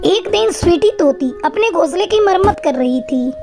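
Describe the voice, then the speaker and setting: A woman's voice: very high-pitched, animated, normal speed, music in the background. A woman narrator reading a scripted children's cartoon story.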